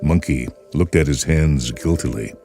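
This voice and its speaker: A man reading a story aloud in a measured, expressive way. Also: extremely low pitch, gravelly. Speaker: narrator. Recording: music bed under the voice.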